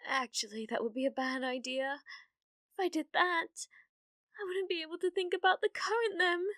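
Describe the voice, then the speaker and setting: A woman's voice: very high voice, moderate pace, a bit bright, no room sound, studio quality. A woman with a British accent playing a clumsy, obsessive, lovestruck young witch in an ASMR roleplay, with hesitant, awkward speech. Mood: dreamy, obsessive. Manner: flustered, stammering, hesitant, breathy murmuring asides.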